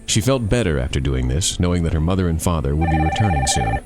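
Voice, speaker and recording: Deep-voiced man telling scary stories. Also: animated, fast, loud music behind the voice. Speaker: author and narrator. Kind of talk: scripted scary campfire storytelling.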